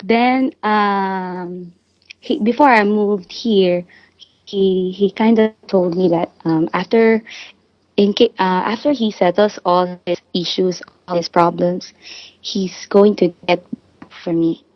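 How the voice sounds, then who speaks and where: A woman in her twenties from the Philippines calling an advice show, halting and hesitant, with many restarts. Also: medium pitch, fairly even intonation, dry recording. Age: 20s. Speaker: caller. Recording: dull dry phone-line sound.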